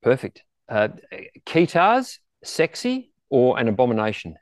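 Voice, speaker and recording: A man's voice: medium pitch, huge pitch swings, unhurried, dry recording, studio quality. A man interviewing a guest casually as co-host of a podcast.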